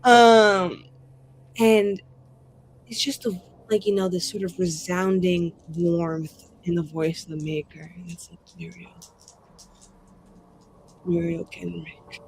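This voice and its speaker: A woman's voice: lowish voice, fairly even intonation, measured pace, a little hiss or hum, poor audio. A woman telling an improvised tabletop roleplay scene casually and dramatically, colloquial and sweary. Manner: dramatic, profane, colloquial with many "like"s.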